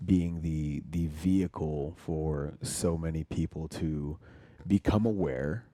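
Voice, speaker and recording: Deep dude hosting a podcast, mellow dark voice, lots of yeahs, dry mic. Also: male voice, slow pace, no echo. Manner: affirming, lots of "yeah" and "mm-hmm", loose rambling questions. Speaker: podcast host. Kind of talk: casual interview conversation.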